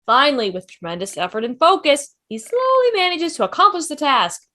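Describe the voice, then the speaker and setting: A woman's voice: sits high, sing-song, a little fast, pushed and bright. A woman reading scripted stage directions as narrator in an online table read. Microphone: video call.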